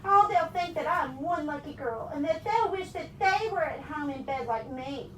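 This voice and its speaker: Woman reading as a whiny, nervous, reluctant character, high-pitched. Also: lively intonation, moderate pace. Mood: anxious, pleading. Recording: roomy, distant mic with background noise.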